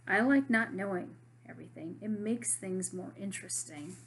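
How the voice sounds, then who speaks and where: A woman reading aloud, voicing a spirited, blunt schoolgirl who is a loyal best friend, in a lively, emphatic way. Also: mid-range voice, normal speed, gravelly, noisy background, lightly acted. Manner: lively, emphatic storybook dialogue.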